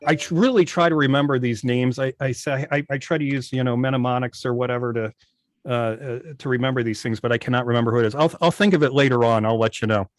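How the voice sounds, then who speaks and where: A man's voice: mid-range voice, fairly even intonation, quick pace, no echo. A man giving a casual, methodical technical explanation as a guest on a video meeting. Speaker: guest, old-time radio station operator. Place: remote video call. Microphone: video call microphone.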